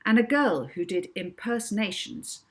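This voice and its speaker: A woman reading a children's book aloud as an expressive narrator. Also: mid-range voice, normal speed. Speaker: author reading own book.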